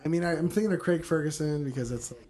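A man's voice: a little high, fast, a little mellow. A man co-hosting a casual podcast, joking, swearing and bursting into exaggerated accents. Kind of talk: casual banter among friends.

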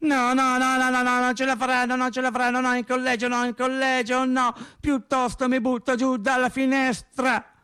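A man's voice: extremely high pitch, monotone, normal speed, pushed and bright, smooth. A male actor playing a naive, stubborn, nervous little boy in a radio theatre monologue. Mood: panicked.

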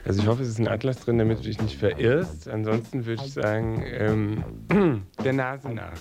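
Guy from a radio office, gravelly, musing along casually, really loud music under him. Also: on the low side, fairly even intonation, normal speed. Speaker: radio programme editor. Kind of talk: casual, improvised talk.

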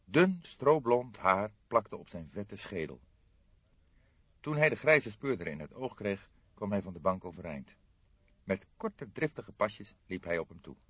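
Low, lively male audiobook narrator. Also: measured pace, breathy edge, no echo, highs cut off. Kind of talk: scripted story narration.

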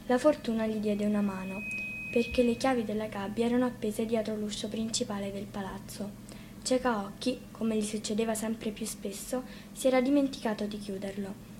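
A woman reading a fairy tale aloud as an expressive storybook narrator. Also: mid-range voice, moderate pace. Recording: faint noise, live radio reading.

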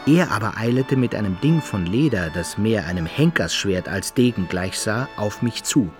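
A man's voice: on the low side, lively intonation, normal speed, natural voice. Actor as male adventurer narrating over music. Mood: measured recounting. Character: adventurer recounting his brawls and travels, Simplicissimus as narrator. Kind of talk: literary radio drama narration in old-fashioned German.

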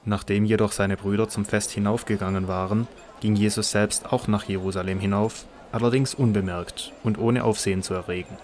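Deep, even-voiced male narrator. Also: brisk, slight background noise. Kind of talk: scripted narration of a Bible audio drama.

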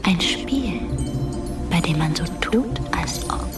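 An actress playing a dead teenage girl who narrates a German radio drama as a quiet, detached ghost, half whispering and measured. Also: fairly low pitch, lively intonation. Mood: hushed, ominous. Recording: music under the voice.

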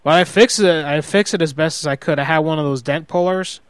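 A man acts out a hot-headed husband on a prank call, in a slightly high, very clear voice. He rambles and stumbles through sheepish self-justifications, recorded close and dry. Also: brisk, dry recording, close to an everyday voice. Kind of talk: improvised prank phone call.

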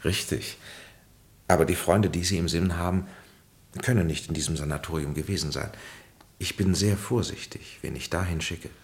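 Deep, creaky, solemn counselor. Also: male voice. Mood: calm, firm. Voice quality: low, creaky. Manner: measured, grave, oracular. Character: solemn, fatherly male spiritual guide and counselor, Henry. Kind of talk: German radio play acting.

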